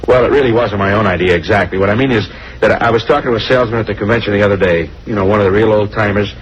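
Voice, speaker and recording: Actor as a fast-talking, evasive American salesman. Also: on the low side, natural voice. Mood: apologetic, defensive. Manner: rambling, colloquial, self-justifying. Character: nervous married traveling watch salesman, evasive, defensive. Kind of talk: 1950s radio crime drama acting. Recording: dull, noisy old radio recording.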